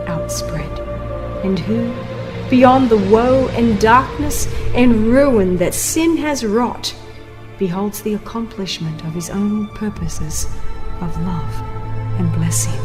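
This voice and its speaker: A woman reading a religious text as a dramatized audiobook narration, solemn, emphatic and deliberate in delivery. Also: on the high side. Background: music.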